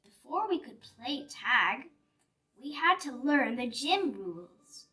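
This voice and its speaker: A woman reading a picture book aloud for young children in an animated, deliberate storytelling manner. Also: high voice. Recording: distant mic with music behind. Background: music.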